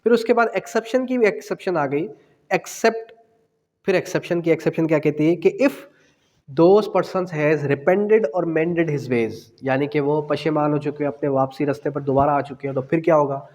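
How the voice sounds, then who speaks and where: A man's voice: on the high side, normal speed, darkish tone. A Pakistani man giving an exam-prep law lecture, didactic, repeating phrases and posing questions that he answers himself. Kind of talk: exam-prep lecture mixing in English legal terms. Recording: long echoing reverb of a hall.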